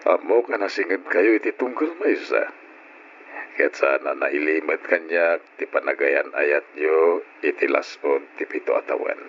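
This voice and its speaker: An extremely low voice plays a grave older father. He pleads with solemn authority in the manner of old radio drama. The recording is dull and a little noisy. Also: unhurried. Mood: grave, pleading. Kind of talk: old-style Ilocano radio drama acting.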